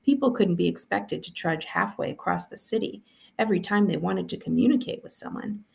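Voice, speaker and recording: A woman reading a story aloud as a school librarian, in an expressive narrating style. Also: lowish voice, a little fast, gravelly.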